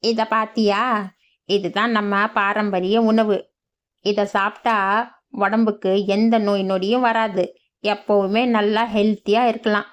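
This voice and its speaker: A woman's voice: medium pitch, flat delivery, measured pace, clean tone, close to an everyday voice. A woman voicing a lazy, cheeky young village man in a cartoon, with a grumbling, sarcastic manner. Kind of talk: cartoon voice acting.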